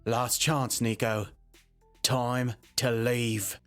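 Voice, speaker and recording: A man's voice: medium pitch, level tone, drawn-out words, no echo, soft background music. A man playing a terse, firm, protective young man in a visual novel game. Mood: firm warning.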